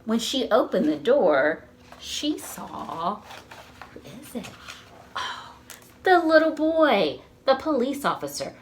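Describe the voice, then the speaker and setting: A woman's voice: on the high side, slight background noise. A woman reading a picture book aloud to young children, expressively and with questions to the listeners. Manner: animated, slow, asks the listeners questions. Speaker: children's storytime reader at a library.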